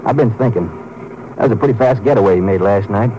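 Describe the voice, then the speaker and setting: A man's voice: lowish voice, fast, dark tone, lightly acted. An actor playing a chatty, easygoing lawman partner who keeps asking questions, in a 1950s radio drama. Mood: casual. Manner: casual, questioning banter. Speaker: Ranger's partner. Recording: dull, lo-fi old radio broadcast with faint hiss.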